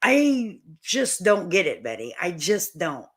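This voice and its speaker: A woman's voice: fairly low pitch, sing-song, slow pace, breathy edge. A woman doing casual reaction commentary as a channel host, with a blunt, mocking, folksy and salty way of talking.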